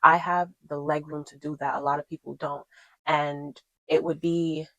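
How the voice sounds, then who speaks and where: A woman's voice: deep voice, flat delivery, moderate pace, fairly bright tone, no echo, low-quality recording. A woman in her twenties talking casually to her viewers as a vlogger, encouraging and emphatic, repeating her points for stress. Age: 20s. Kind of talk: casual chat to camera.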